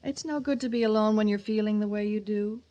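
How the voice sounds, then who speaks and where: Actress as sorrowful middle-aged mother, mid-pitched, earnest, imploring, radio drama. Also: steady tone, fast, warm tone, highs cut off, lightly acted. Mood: hurt, concerned. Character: pleading, sorrowful mother of a grieving son. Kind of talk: old-time radio drama acting.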